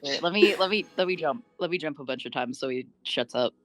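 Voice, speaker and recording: A woman's voice: sits low, animated, fast. A woman chatting casually and playfully while gaming, with plenty of swearing. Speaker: gamer friend pulling alongside.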